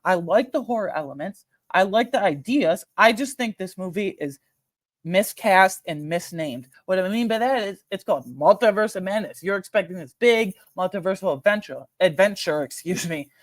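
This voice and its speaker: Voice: medium pitch, expressive, moderate pace, dry recording. A person giving casual, opinionated film commentary as a host, speaking informally and repeating phrases for emphasis. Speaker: host ranking films.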